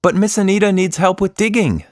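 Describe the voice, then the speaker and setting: A man's voice: sits high, a little fast, a little mellow, no room sound, studio quality, pitched above the performer's own voice, close to an everyday voice. A man voicing a young girl, eager but argumentative and a bit reluctant, in a children's story. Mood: pleading.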